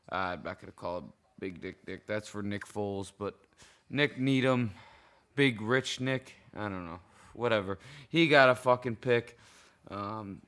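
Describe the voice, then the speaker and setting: A man in a hoarse, cracking voice, a little drunk, giving a profane, heated, rambling reaction to a football game. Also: fairly low pitch, sharp tone. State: hoarse, intoxicated. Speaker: sports fan vlogger. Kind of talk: casual game reaction rant.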